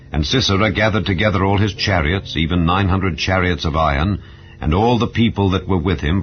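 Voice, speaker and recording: A man narrating a dramatized Bible reading in a solemn, formal, measured way. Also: low voice. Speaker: scripture narrator. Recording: slightly dull audio with music underneath. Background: background music.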